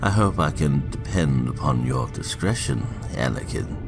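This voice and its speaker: A man playing an elderly, manipulative statesman in a fan audio drama, grave and deliberate, with a gravelly, insinuating delivery. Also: rumbling low, lively intonation, over music. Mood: grave, solemn.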